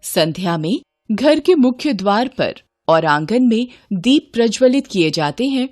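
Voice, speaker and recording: A woman reading a scripted devotional folk tale in a measured, expressive storytelling manner. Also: on the high side, soft background music. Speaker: storyteller, narrator.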